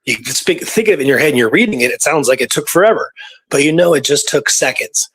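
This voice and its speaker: A man's voice: on the high side, expressive, fast talker, a bit bright, slightly rough. A man co-hosting a talk show, telling history in a casual, conversational way, often checking in with the listener. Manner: conversational, peppered with "you know" and "right". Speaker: radio show co-host. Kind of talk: casual storytelling about Old West history.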